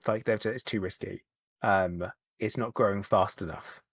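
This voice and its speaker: A man, a podcast guest, talking candidly in a halting way, often repeating words and starting over. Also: fairly low pitch, steady tone, breathy edge. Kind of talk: candid conversational chat.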